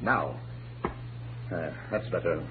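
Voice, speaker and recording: A man's voice: sits low, slow pace. A man playing a cold, smug, formally polite killer in a 1940s radio noir drama. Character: cold, polite male murderer holding people at gunpoint. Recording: lo-fi vintage radio, faint noise.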